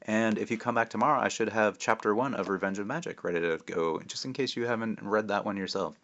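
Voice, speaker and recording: Low-voiced man reading a story aloud. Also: fast, lo-fi sound. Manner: expressive, self-interrupting, wry. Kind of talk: animated children's book read-aloud with casual asides.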